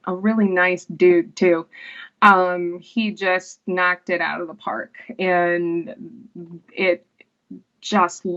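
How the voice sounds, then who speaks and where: A woman, an actress, chatting casually as an interview guest, unhurried and full of fillers and restarts. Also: on the low side, level tone, a bit bright, lo-fi sound.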